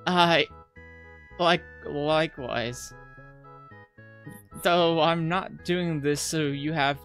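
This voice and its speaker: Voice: mid-range voice, fairly even intonation, drawn-out words, a bit bright, soft background music. A visual novel player voicing a haughty, stammering, easily flustered young schoolgirl. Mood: flustered, indignant. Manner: stammering, imperious. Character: haughty, easily flustered rich schoolgirl, mean but softening.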